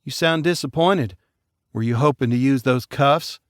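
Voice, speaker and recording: A man voicing a level-headed female police officer with a brisk, steady delivery. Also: mid-range voice, no room sound, clean studio sound, voice pushed up, natural voice. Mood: wry.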